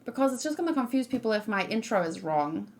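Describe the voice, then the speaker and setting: A woman's voice: a little high, very animated, quick pace. A woman streamer giving a casual, rambling reaction to a game trailer, full of ums and restarts. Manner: rambling, self-correcting, with frequent ums. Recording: faint background music.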